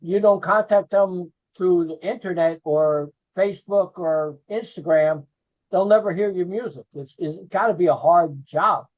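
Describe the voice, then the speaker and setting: A man's voice: high voice, measured pace. A man, interviewing guests over a video call in a chatty way. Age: 60s. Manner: chatty, with many fillers. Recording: dull lo-fi video call audio.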